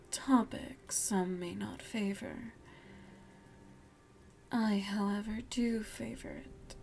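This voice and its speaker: A seductive, motherly vampire played by a woman murmurs in hushed, breathy ASMR roleplay. Her pace is slow and deliberate, her tone dark and soft. She coaxes and teases with quiet menace, close to the mic over faint music. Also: medium pitch. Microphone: close microphone.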